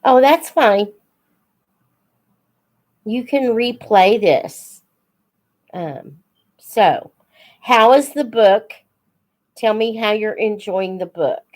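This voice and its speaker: A woman hosting a casual live chat, unhurried and thinking aloud as she talks to her viewers. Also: medium pitch, expressive. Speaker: host of a live book Q&A, teacher-like reader.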